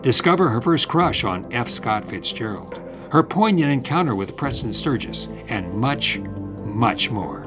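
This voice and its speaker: A man's voice: medium pitch, lively intonation, measured pace, music in the background. A man reading scripted podcast narration as an announcer. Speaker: credits announcer.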